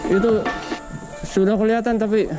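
A man's voice: high voice, little pitch movement, moderate pace. A man hosting a fishing vlog on a river boat, talking urgently and repeating short instructions. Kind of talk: excited, urgent talk while landing a fish. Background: music.

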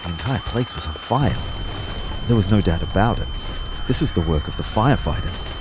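An actor playing a wry, lovestruck young personal trainer who narrates an audio drama in a measured, understated way. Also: male voice, lowish voice, lively intonation, noisy background, close to an everyday voice. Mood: dazed, tense.

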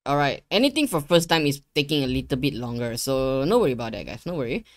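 A man's voice: mid-range voice, big pitch swings, fast, dry recording. Casual young man giving a tutorial, dry sound. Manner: informal, repeats phrases, addresses viewers. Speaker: tutorial host. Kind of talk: casual screen-share tutorial. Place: indoor gaming room at a desk.

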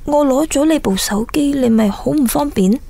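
An actress playing a clumsy, apologetic young injured employee in a radio drama. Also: female voice, high-pitched, normal speed, gravelly, mellow, no room sound. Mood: flustered, apologetic. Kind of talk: Cantonese radio drama acting.

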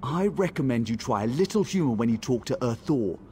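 Actor as male elf scout, mid pitch, wry and conspiratorial, fantasy game, faint noise. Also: animated, a little fast, darkish tone, close to an everyday voice. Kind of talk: fantasy video game acting.

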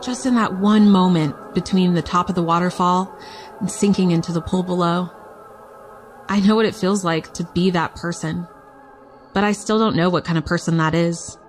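A woman's voice: on the low side, fairly even intonation, normal speed, faint music behind, lightly acted. A woman playing a wistful, foul-mouthed fighter in audio fiction, speaking in a confessional, blunt way.

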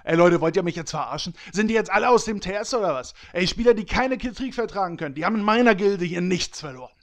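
A man acts out an angry raid leader in a gaming audio play. His voice is high for a man and full of creak. He barks commands and rants with coarse insults, berating his team. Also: expressive, quick pace. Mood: furious ranting. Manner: ranting, foul-mouthed, commanding. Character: furious, berating male raid leader in an online game. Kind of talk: hobby comedy audio drama.

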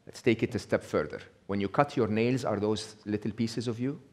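A man's voice: on the low side, moderate pace. A middle-aged man giving a live talk, conversational, checking in with the audience with a frequent "okay?". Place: small indoor venue with brick wall.

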